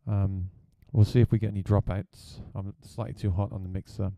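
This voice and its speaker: Deep-voiced man reviewing gear. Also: little pitch movement, brisk, gravelly, dark tone, no room sound. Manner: chatty, plain-spoken. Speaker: gigging musician reviewing gear.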